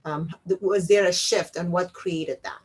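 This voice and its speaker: A woman's voice: fairly low pitch, fairly even intonation, moderate pace. A woman born in Ethiopia and raised in the United States, conversationally interviewing a guest. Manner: conversational, with soft backchannels.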